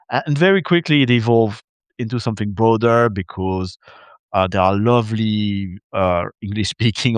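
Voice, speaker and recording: A man's voice: fairly low pitch, smooth, no room sound. A man from Belgium, a native French speaker with a French Belgian accent, talking as a podcast guest over a video call in a chatty, hesitant way. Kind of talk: interview conversation.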